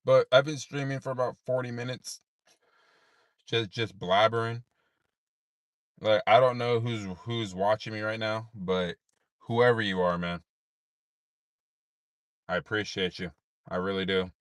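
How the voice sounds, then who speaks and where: A tired man with a deep voice, rambling in a laid-back way with many fillers while livestreaming from his parked car at night. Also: fairly even intonation, measured pace, pauses often, no room sound, studio quality. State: tired, unable to sleep. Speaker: streamer. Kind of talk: late-night livestream chat.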